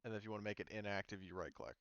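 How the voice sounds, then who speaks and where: A man's voice: lowish voice, very fast, fairly bright tone, no echo, highs cut off. A man running an online tabletop game as game master, explaining things informally and a little hesitantly.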